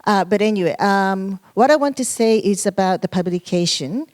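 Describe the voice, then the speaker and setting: A woman's voice: mid-range voice, moderate pace, a little mellow. A woman making a hesitant comment from the floor at an academic conference, with many fillers and restarts. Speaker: conference fellow and association president. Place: conference room.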